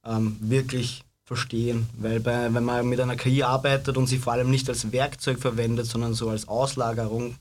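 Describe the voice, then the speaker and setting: Guy thinking out loud, flat even voice. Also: mid-range voice, fairly quick, hardly any pauses. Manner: reflective, thinking aloud. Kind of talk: casual discussion.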